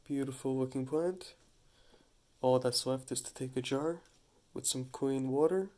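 A man with a stuffy head cold casually demonstrating a gardening task at a kitchen sink, talking in a relaxed, halting way with many restarts. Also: medium pitch. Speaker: hydroponics gardening channel host. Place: home kitchen at a running sink. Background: running tap water.

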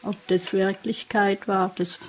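A woman gives an unscripted interview recollection. Her voice is medium in pitch and conversational. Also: flat delivery, unhurried, slight background noise.

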